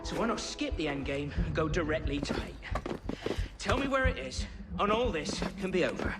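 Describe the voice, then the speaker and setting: A man's voice: fairly high pitch, big pitch swings, moderate pace. An actor playing a menacing, casually cruel male interrogator in a video game, talking in a taunting, colloquial way. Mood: taunting, threatening. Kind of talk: villainous game cutscene acting. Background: very loud music.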